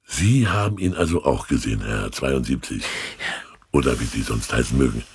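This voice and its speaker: A man's voice: a bass voice, animated, moderate pace, husky, no echo, exaggerated voice. An actor playing a sly, condescending state official in a German radio play, suave and mockingly polite as he questions people. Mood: mocking interrogation.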